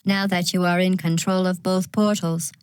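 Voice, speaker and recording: A woman playing a deadpan, menacing female artificial intelligence in a video game, measured and falsely courteous, with synthetic robot processing. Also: on the low side, clean tone, no echo. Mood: coldly polite. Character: polite, coldly menacing female artificial intelligence running deadly tests. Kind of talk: scripted video game announcements.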